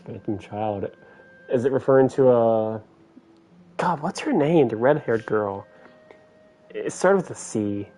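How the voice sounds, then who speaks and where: Mellow, dark-voiced man streaming a game. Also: mid-range voice, animated, unhurried, long pauses. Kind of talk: casual playthrough commentary, reading some lines aloud. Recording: game music behind.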